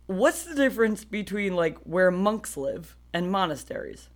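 A woman's voice: medium pitch, animated, unhurried. An American woman co-hosting a podcast, telling stories in a casual, slangy, self-deprecating comic way. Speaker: podcast co-host. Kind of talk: casual comic storytelling chat.

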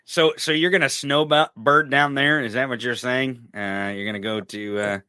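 Bright, upbeat podcast host man. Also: mid-range voice, steady tone, normal speed, clean tone, no room sound, studio quality. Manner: joking, upbeat. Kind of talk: casual podcast chat.

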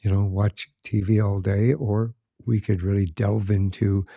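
A middle-aged man hosts a conversational podcast, friendly and a little rambling. His voice sits low and mellow, with a gravelly, slightly husky grain. The sound is dry and close. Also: level tone, measured pace, no echo. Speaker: podcast host. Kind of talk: conversational interview.

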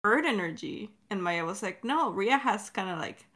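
A woman's voice: medium pitch, expressive, moderate pace, fairly bright tone. A woman co-hosting a creator Q&A, chatting casually in a giggly way and swearing freely. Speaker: podcast creator and co-host.

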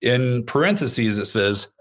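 A man guesting on a podcast in casual chat, halting and repeating his words, chuckling often. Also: mid-range voice, animated, a bit bright. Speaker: podcast guest and internet radio host.

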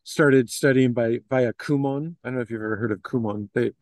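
A man's voice: medium pitch, fairly quick, darkish tone, no room sound. Middle-aged man, dry close sound, meandering answers. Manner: hesitant, meandering phrasing with many you-knows. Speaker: interview guest, investment firm executive. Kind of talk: informal business interview answers.

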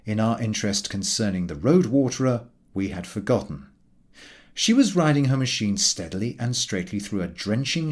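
A man's voice: on the low side, darkish tone, slightly rough. A man reading a comic novel aloud as scripted audiobook narration.

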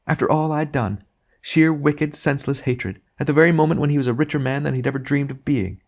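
A man's voice: fairly high pitch, fairly quick, pitched above the performer's own voice. A man voicing the ghost of a domineering wife, complaining and self-righteous in an audiobook reading.

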